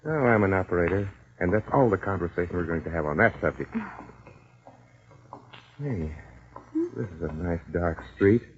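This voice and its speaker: An actor playing a big, flashy, amorous American racketeer, cocky and slangy, in 1940s radio drama style. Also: male voice, low voice, animated, moderate pace, long pauses. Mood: swaggering. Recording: muffled 1940s radio recording.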